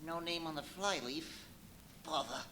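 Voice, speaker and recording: A woman playing a fussy, nervous doctor in a live radio play, muttering in a halting, fretful way. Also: deep voice. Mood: puzzled, fretful. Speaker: stage radio play actor. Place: live performance at a brewery venue. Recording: live stage recording, light noise.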